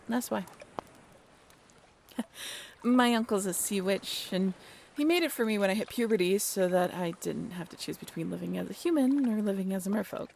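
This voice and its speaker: A woman's voice: mid-range voice, vocal fry, close to an everyday voice. Woman playing a caring half-mermaid sailor, noisy ambience. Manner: naturalistic, halting, gently bossy. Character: caring half-mermaid young woman, sailing ship crew member, bashfully candid. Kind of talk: audio roleplay drama spoken directly to the listener.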